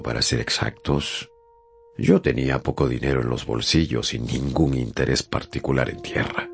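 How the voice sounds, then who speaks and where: An actor playing a young, plain-spoken sailor who tells his own tale slowly and thoughtfully in a radio drama. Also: extremely low pitch, gravelly, heavily acted. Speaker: narrator character. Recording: faint music underneath.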